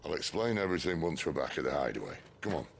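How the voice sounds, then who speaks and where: A worldly middle-aged rogue speaks in a fantasy game. The voice is extremely deep and full of gravel, with a dry, teasing, laconic manner and faint noise behind it. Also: male voice, big pitch swings, quick pace, exaggerated voice. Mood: wry, assured. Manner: sardonic, laconic. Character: roguish, wry, middle-aged outlaw leader, worldly and teasing. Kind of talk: dramatic video game acting.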